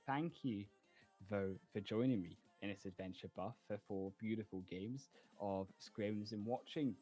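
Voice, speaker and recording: A man's voice: lowish voice, unhurried, mellow, faint music behind. Confident male esports caster. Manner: fluent, confident, upbeat. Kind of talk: esports analysis and sign-off.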